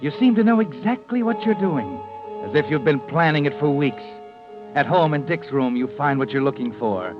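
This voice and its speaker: A man's voice: fairly high pitch, lively intonation, normal speed, music in the background, close to an everyday voice. An actor playing a sinister, knowing narrator of an old-time radio mystery, insinuating as he addresses the villain. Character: ominous male narrator who knows the villain's mind. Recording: muffled vintage radio recording.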